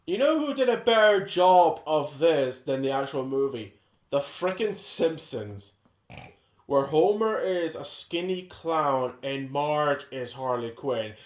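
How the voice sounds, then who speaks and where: A man talks through his movie picks in a loose, rambling way, full of strong opinions and restarts. His voice sits a little high for a man, and the room gives it a noticeable echo. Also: lively intonation, slow. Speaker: video host. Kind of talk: casual movie-ranking chat. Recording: echoey room sound.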